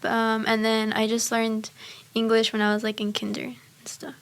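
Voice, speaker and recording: Teen girl, native Spanish speaker, bright higher pitch, rambling storytelling. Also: completely flat delivery, normal speed. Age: teens. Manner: chatty, many fillers. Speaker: student speech competitor. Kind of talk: casual chat.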